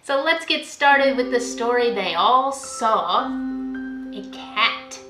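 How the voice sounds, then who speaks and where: A young woman reads to small children as a librarian would, in a high, very lively voice. She stretches her words in an expressive sing-song. The room around her adds some echo. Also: slow, over music. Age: young adult. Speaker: school librarian reading aloud to children. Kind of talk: children's picture book read-aloud. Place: indoor room at home, by a window.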